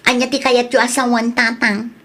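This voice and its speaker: A woman's voice: fairly high pitch, flat delivery, moderate pace, a bit bright. A woman with an Ilocano accent acting a giddy young woman who is smitten with her neighbor, talking in a playful, teasing way. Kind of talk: radio drama acting.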